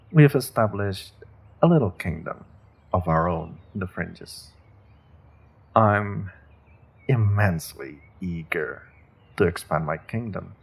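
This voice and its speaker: A man playing a menacing, courteous evil sorcerer warlord in a fantasy audio roleplay, gloating in a mock-polite, deliberate way with a gravelly, husky voice. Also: low-pitched, full character voice. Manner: slow, deliberate, mock-polite, savoring threats with drawn-out pauses. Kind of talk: fantasy audio roleplay, speaking directly to a captive listener.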